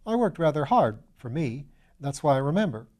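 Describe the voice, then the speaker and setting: A man reads out an eager young upper-class student in a storybook. He is easily flustered and runs himself down in old-fashioned slang. The voice rides high and stays mellow. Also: normal speed. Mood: recalling, eager. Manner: excitable, self-deprecating, old-fashioned slangy speech.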